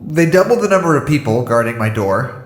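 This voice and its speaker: Echoey room, male actor as anxious guarded captive, highish swinging pitch, hesitant, self-interrupting, audio drama. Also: lively intonation, fairly quick, natural voice. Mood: uneasy, resigned. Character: anxious, halting man held under guard.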